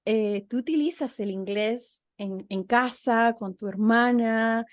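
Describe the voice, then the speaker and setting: A woman's voice: fairly high pitch, slow. A woman hosting a casual interview, talking reflectively and often restarting her phrases. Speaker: host, interviewer.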